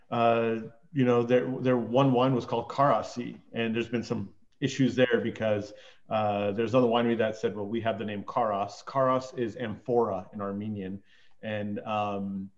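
Halting man on a muffled call. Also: mid-range voice. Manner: halting, many pauses and trailing phrases. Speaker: panelist, wine expert. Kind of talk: casual recollection and explanation. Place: remote virtual panel call. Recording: dull, lo-fi call audio.

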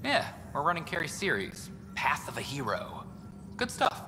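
A weary, eager news reporter. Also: male voice, a little high, huge pitch swings, over music. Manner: eager, fast-talking, a little weary. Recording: long reverb, game ambience behind.

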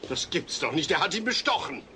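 A man's voice: fairly high pitch, big pitch swings, a little fast, sharp tone. An actor playing an exasperated family man as prosecutor in a German comedy audio drama, dry and insistent. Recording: noisy old cassette audio drama.

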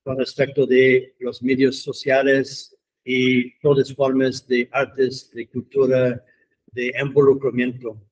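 Canadian man giving a measured, flat talk. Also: medium pitch, slight background noise, low-quality recording. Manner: measured, short phrases, non-native Spanish. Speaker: professor speaking at a seminar. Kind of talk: prepared talk to students. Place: meeting room, indoors. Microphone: handheld microphone.

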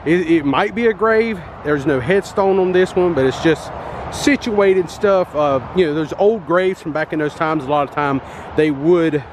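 A man in his forties chatting casually as he films himself with a phone at arm's length, walking outdoors by a busy highway. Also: normal speed. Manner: chatty, thinks aloud with many "uh"s. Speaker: vlogger exploring abandoned places. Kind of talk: casual walk-and-talk vlog. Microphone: phone held at arm's length. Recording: traffic noise behind. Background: passing cars.

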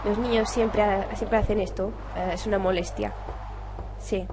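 A woman's voice: medium pitch, fairly even intonation, normal speed, background noise. A young woman giving informal let's play commentary on a video game at home, reading dialogue aloud. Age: young adult. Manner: informal, swears. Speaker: gaming video host.

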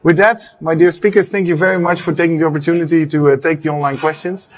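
Fast upbeat male congress host. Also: on the high side, steady tone. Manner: quick, upbeat, many fillers. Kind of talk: live congress wrap-up. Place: studio set with a long desk. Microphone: lapel mic.

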